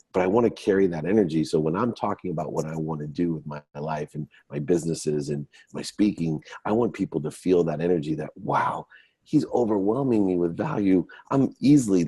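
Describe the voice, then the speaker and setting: Very deep-voiced man of fifty, motivational speaker. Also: lively intonation, brisk, vocal fry, warm tone, slightly rough, dry recording. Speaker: guest, motivational entrepreneur and speaker. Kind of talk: interview answers, motivational explanation.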